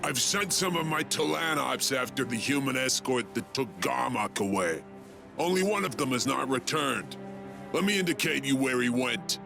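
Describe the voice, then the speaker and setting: An actor playing a proud, bitter alien tribesman with a grave, forceful way of talking, in a video game. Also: mid-range voice, expressive, normal speed, vocal fry, over music. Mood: defiant. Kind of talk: video game cutscene acting.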